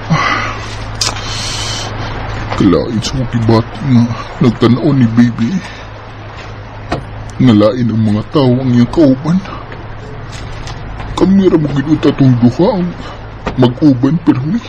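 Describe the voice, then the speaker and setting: A man's voice: medium pitch, takes it slowly, long pauses, dark tone, highs cut off, a little hiss or hum, close to an everyday voice. A man playing an earnest, jealous young boyfriend with a Bisaya Filipino accent in an amateur radio drama. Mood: hurt. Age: young adult.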